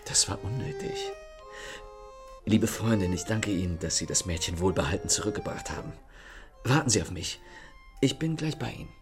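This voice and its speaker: An actor playing a self-assured, courteous and mocking gentleman thief in a German radio play, with polished theatrical manners. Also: fairly low pitch, animated, normal speed, long pauses, over music. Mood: courteous, confident.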